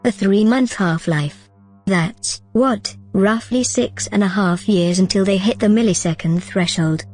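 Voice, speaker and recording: A woman's voice: medium pitch, a little slow, darkish tone, lightly acted. A woman playing a bold, persuasive artificial consciousness in an audio drama, confident and conversational. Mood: determined, calculating. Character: bold, persuasive female artificial consciousness plotting her escape. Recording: music under the dialogue.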